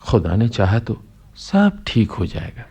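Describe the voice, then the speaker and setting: An actor playing a kindly, concerned middle-aged postman in a Hindi radio play, speaking gently and coaxingly. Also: fairly low pitch, big pitch swings, a little slow, breathy edge, lo-fi sound, full character voice. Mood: gentle coaxing.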